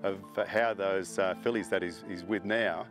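A man's voice: sits low, fairly even intonation, moderate pace, over music. A middle-aged man, a horse farm owner, talking casually in an interview, rambling with fillers and restarts.

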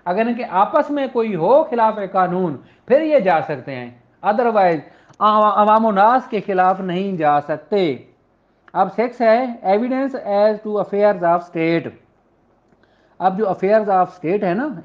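A man with a Pakistani accent giving an informal, explanatory lecture as a law teacher. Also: high-pitched. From Punjab, Pakistan. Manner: explanatory, switches into slow deliberate reading. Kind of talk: informal lecture, explaining and reading out legal text. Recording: dull, lo-fi audio.

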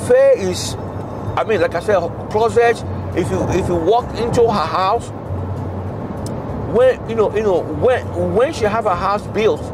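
A man's voice: sits high, a little slow. A middle-aged man with a Nigerian accent telling casual stories, repeating phrases for emphasis and acting out dialogue. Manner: repetitive, emphatic, acts out dialogue. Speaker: father telling stories about his daughter. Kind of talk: animated casual storytelling. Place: inside a moving car on a highway. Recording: loud car road noise.

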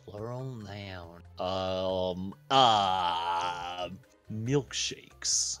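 A man's voice: sits low, extremely slow, a bit bright, clean tone, faint music behind. A man joking around casually with friends during an online group game. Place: online voice call.

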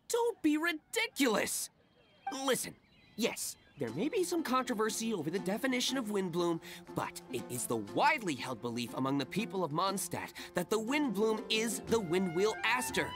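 A man voicing an opinionated young fruit seller in a game, pompous, excitable and declamatory. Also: sits high, normal speed, music in the background. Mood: indignant.

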